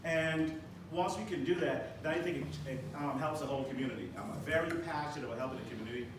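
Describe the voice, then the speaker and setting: Earnest man pitching in an echoey room. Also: fast. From Barbados. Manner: earnest, teaching tone with direct questions. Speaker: financial advisor pitching at a networking meeting. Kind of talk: short self-introduction pitch. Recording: echoey room, mic far off, noisy.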